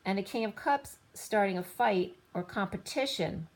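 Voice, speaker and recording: A woman giving a rambling tarot reading, listing cards in loose fragments, her voice going a little hoarse. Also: medium pitch, normal speed. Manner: rambling, lists card after card in loose fragments. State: slightly losing her voice. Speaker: tarot reader.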